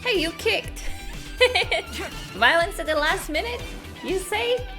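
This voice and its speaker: A woman hosting a casual gaming reaction video, chatting in an excitable, giggly way. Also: very high-pitched, slow. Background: game music.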